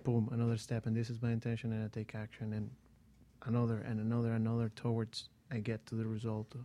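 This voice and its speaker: A guest on a radio talk show, explaining slowly and step by step, repeating phrases. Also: mid-range voice, completely flat delivery, dark tone.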